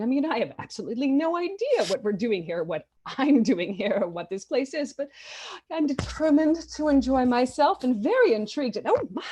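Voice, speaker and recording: Casting: actress as nervous society hostess for comedy script read, gushing and flustered, higher-pitched, very lively delivery. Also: female voice, a little hiss or hum. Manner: gushing, flustered, slightly hysterical rapid chatter. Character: nervous, chatty society hostess, a politician's wife. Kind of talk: live table read of a comic film script.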